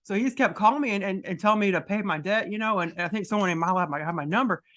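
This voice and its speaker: A woman with a notably deep yet bright voice, hosting a casual podcast. She talks in a loose, rambling way, full of restarts. Her tone carries a forward, ringing edge. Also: racing. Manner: chatty, rambling storyteller with many restarts. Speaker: podcast host.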